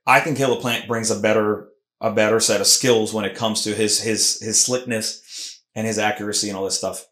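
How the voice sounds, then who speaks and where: A man's voice: on the low side, level tone, moderate pace, fairly bright tone. A man hosting a casual sports podcast, talking emphatically with lots of restarts. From Puerto Rican heritage. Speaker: podcast host. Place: Philadelphia podcast studio room. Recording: roomy echo.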